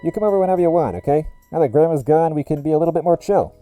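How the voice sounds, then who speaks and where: A man's voice: fairly high pitch, animated, rapid-fire, warm tone, soft background music, pitched above the performer's own voice, natural voice. A man voicing a friendly slacker of a little masked minion, slangy, eager and easygoing, in a story reading.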